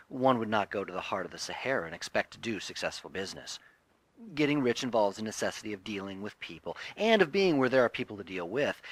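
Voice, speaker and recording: Guy reading a self help book out loud, deliberate and teachy, pitch moves around a lot, no room echo. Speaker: audiobook narrator. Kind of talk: scripted audiobook reading of a self-help text.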